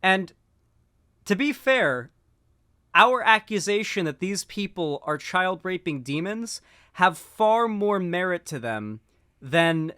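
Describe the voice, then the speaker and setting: A man's voice: on the high side, bright, forceful tone, clear, resonant tone, no room sound, studio quality. A man giving casual political commentary while stoned, rambling with lots of fillers and restarts.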